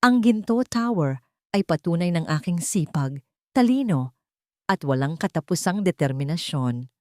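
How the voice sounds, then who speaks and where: A woman's voice: on the low side, lively intonation, normal speed, no room sound, clean studio sound, natural voice. A woman voicing a boastful, successful businessman giving a proud speech in an audiobook, with a Filipino accent. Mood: proud, showy. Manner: proud, declamatory.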